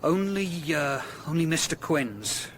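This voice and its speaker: A man's voice: a little high, expressive, takes it slowly, a bit bright, a little hiss or hum. An actor playing a surly, defensive caretaker in a radio drama, curt and sarcastic in his grudging answers. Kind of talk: full-cast radio drama acting.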